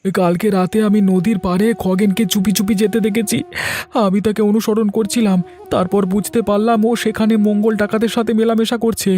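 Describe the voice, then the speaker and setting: A man's voice: high voice, level tone, moderate pace, mellow, close to an everyday voice. Man acting anxious village man over music. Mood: agitated, urgent. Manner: agitated, stammering when alarmed. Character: anxious, guilt-ridden village man, loyal friend. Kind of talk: Bengali fairy tale cartoon dialogue.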